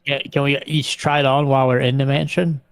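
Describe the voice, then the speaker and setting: A man's voice: mid-range voice, little pitch movement, quick pace, creaky, clear, resonant tone, no room sound. A man chatting casually as a player in a tabletop roleplaying game.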